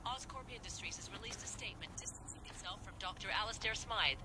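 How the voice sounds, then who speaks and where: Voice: medium pitch, fast, heavy background noise, lightly acted. An actor playing a serious, formal television news reporter in a video game. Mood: serious, urgent. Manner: formal, newsreader delivery. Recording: loud music under the voice.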